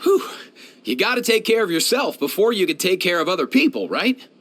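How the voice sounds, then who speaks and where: Easygoing young male game vet, kind and slangy, lightish pitch, very animated. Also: brisk. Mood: cheerful, encouraging. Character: laid-back, kindly young male veterinarian. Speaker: video game character voice actor. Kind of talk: game voice lines, conversational.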